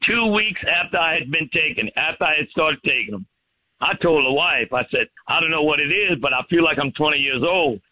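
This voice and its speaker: A man's voice: fairly high pitch, dry recording. A plain-spoken, folksy man telling a casual customer testimonial over a phone-like line. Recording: dry, narrow phone-like line.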